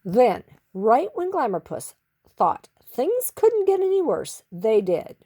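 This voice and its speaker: Animated woman storyteller. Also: a little high. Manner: theatrical, with drawn-out emphasis on key words. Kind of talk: expressive children's story narration.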